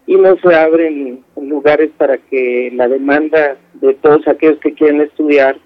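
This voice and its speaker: A man, a university professor, giving measured, explanatory interview answers. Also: on the high side, steady tone, dry recording. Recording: dull lo-fi dry sound, faint music.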